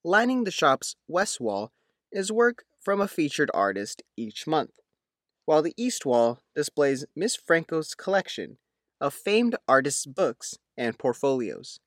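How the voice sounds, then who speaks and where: A man's voice: on the high side, very animated, unhurried, a bit bright, no room sound, clean studio sound. A man reading a written local news feature in a scripted narration style.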